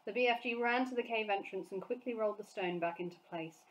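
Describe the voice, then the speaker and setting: A woman's voice: medium pitch, quick pace, muffled recording, slight background noise. Woman reading a storybook aloud. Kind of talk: storybook read-aloud for children.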